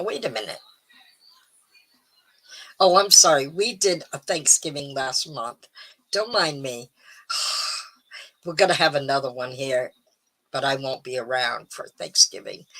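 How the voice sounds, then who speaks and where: A middle-aged woman hosting a live stream, chatting casually and rambling with ums, a little hoarse. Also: a bass voice, bright, forceful tone, low-quality recording. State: slightly hoarse. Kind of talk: casual chat to viewers.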